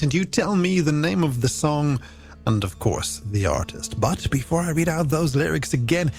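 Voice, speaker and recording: A man's voice: a little high, gravelly, a little mellow. An Irish man hosting a late-night radio music show, presenting in a scripted, playful style with florid, tongue-in-cheek wordplay over a music bed. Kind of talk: scripted radio presenting with games and listener messages.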